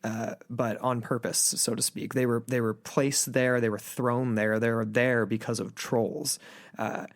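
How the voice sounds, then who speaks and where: A man's voice: medium pitch, flat delivery, unhurried, dry recording, studio quality. A man co-hosting a podcast, chatting casually and rambling with lots of fillers and restarts. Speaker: podcast co-host. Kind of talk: casual chat.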